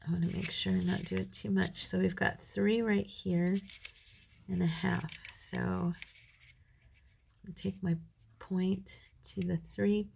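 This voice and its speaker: A woman's voice: on the low side, flat delivery, slow pace, gravelly, pauses often, a little hiss or hum. A tired, middle-aged woman, chatting to her viewers and muttering to herself as she works through a craft tutorial. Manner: chatty, rambling, talks to viewers while working; mutters softly to herself while working. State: tired, gravelly voice. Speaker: craft channel host. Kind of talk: casual crafting tutorial chat.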